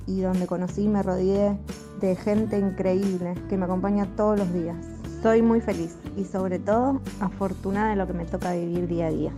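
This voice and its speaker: A woman's voice: medium pitch, fairly even intonation, moderate pace. A woman with a Rosario Argentine accent giving a first-person narrated introduction of herself. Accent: Rosario Argentine Spanish. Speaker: podcast guest introducing herself. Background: music.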